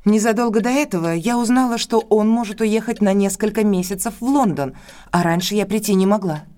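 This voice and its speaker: Actress playing a proud defensive lady, medium pitch, formal, curt, guarded, audio drama. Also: fairly quick, mellow, close to an everyday voice.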